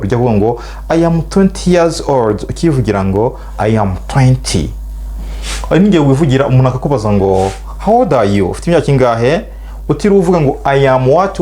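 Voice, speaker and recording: A middle-aged man with a Rwandan accent, a native Kinyarwanda speaker, teaching spoken English and stretching out model phrases slowly for learners to repeat. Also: mid-range voice, darkish tone.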